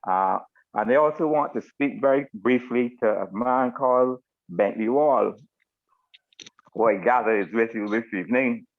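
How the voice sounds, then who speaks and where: An older man with a Barbadian accent gives informal thanks on a group call, speaking in formal, deliberate phrases. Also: medium pitch. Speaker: retired military officer giving closing remarks. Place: online video meeting. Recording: narrow phone-like video call audio.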